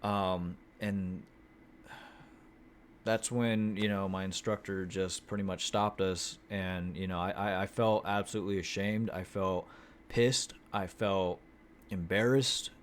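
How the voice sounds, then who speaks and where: A man telling his vlog viewers about an acting class, talking in a flat monotone, swearing freely and restarting his sentences often. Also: sits low, slow pace, a little hiss or hum. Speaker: acting student vlogger. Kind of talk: casual chat. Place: Houston, Texas.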